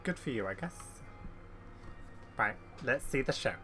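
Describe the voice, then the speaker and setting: A woman's voice: very deep voice, lively intonation, unhurried, fairly bright tone, soft background music. A woman streamer giving casual reactions while playing a game. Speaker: gaming streamer.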